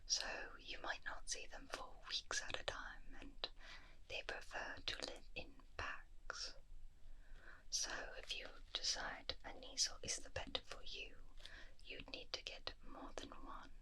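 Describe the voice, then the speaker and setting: Whispering woman, slow and very bright. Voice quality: breathy unvoiced whisper, crisp and bright. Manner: slow, soft-spoken, addressing the listener as a customer. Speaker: ASMR roleplay host, magical pet shop keeper. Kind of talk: whispered ASMR roleplay, personal attention. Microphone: close binaural ASMR microphone.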